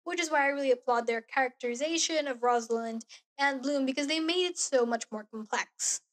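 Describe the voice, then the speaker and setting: A young woman vlogging in a small bedroom into a close studio mic, talking earnestly about a show with a hoarse voice. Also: sits high, brisk. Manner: gushing, earnest, gestures while talking. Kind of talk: casual review vlog. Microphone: studio mic on boom arm, close.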